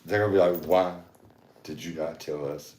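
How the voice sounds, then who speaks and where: A man hosting a podcast, joking around in casual chat with a very deep voice. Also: fairly even intonation, normal speed, darkish tone, reverberant. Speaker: podcast host.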